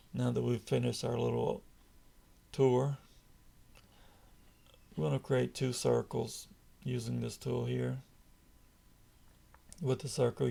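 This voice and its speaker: A man with a raspy, gravelly voice talks through a screen-recorded software tutorial. His pace is slow and his tone stays flat and dark. He explains each step patiently and reassures the viewer. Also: medium pitch. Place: indoors at a computer, voice-over on screen capture.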